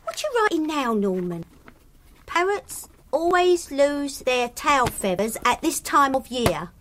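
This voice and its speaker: A woman's voice: high voice, sing-song, slow, full character voice, poor audio. An actress playing a ditzy, blunt young woman. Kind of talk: sitcom acting. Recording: loud background noise. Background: loud noise.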